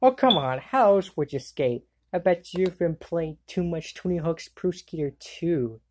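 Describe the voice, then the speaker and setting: A woman performs an excitable cartoon girl from an anime comedy fandub. The voice sits slightly low for a female one and leaps widely up and down. The delivery is slow-paced yet exclamatory, with a wisecracking edge. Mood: playful, exasperated. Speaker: fan dub voice actor. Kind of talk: amateur cartoon fandub line readings.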